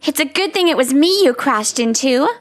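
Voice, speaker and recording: Woman voicing a tough teen gang girl, high and lively. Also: normal speed, faint music behind. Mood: gruff, easygoing. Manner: blunt, threatening street talk. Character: tough, hot-tempered teen delinquent girl. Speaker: voice actor in a manga dub.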